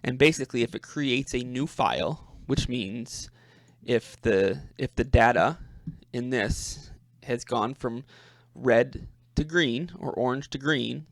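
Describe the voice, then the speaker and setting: Gravelly-voiced man giving a halting walkthrough. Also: mid-range voice, dry recording. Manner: halting, thinking aloud, repeats words. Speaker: presenter demonstrating a personal coding project. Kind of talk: informal screen-share walkthrough.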